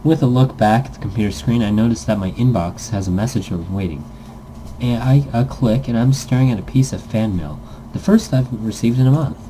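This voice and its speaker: A man's voice: medium pitch, brisk, dark tone, slight background noise, poor audio. A man casually reading a visual novel script aloud as narration, stumbling now and then and correcting himself. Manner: stumbles over words, corrects himself, jokey asides.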